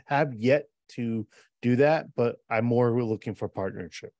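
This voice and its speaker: A man in his forties with a middle-pitched voice, explaining earnestly in quick bursts on a casual podcast. He talks into a close podcast mic from a home office, and the sound is dry. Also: dark tone, no echo. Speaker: programmer and podcast co-host. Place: home office on a video call. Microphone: podcast mic with headphones.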